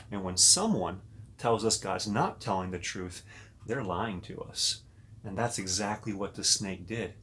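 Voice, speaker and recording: A man reading a children's story aloud as a pastor, pausing to talk directly and expressively to young listeners. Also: fairly low pitch, fairly bright tone, slight background noise.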